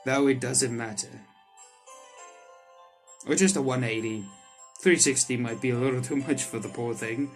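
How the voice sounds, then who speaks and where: A man doing let's play commentary on a visual novel, reading the text aloud and reacting to it excitably. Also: medium pitch, normal speed, pauses often. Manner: excitable, reacts in sudden loud outbursts. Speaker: streamer playing a visual novel. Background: soft game music.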